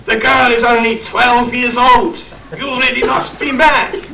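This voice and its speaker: A man's voice: extremely high pitch, flat delivery, a little slow, gravelly, bright, forceful tone, full character voice. A man playing an indignant, blustering father in an amateur rhyming pantomime, declaiming his lines on stage. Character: indignant, blustering father forbidding his young daughter's marriage. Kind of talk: amateur Christmas pantomime acting in rhyme. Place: live staff pantomime in a hall. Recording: distant, echoey, noisy amateur stage recording with audience.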